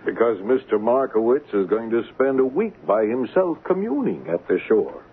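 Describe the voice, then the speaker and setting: Man acting animated swindler, tinny old radio. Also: mid-range voice, moderate pace, natural voice. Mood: confident, scheming. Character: smooth-talking, resourceful male swindler, falsely diffident. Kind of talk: 1970s radio drama acting. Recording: tinny band-limited old radio broadcast, noisy.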